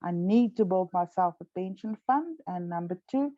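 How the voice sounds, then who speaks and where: A woman's voice: lowish voice, animated, moderate pace, no room sound, narrow, tinny audio. An older woman chatting about her plans and laughing often. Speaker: participant.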